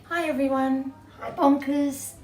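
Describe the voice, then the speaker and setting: A middle-aged woman, an early childhood educator, telling a story to young children in an animated storytelling style. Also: sits high, unhurried. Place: small indoor playroom with bookshelves, seated on floor. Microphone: camera several feet away. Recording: distant mic, roomy echo, background noise.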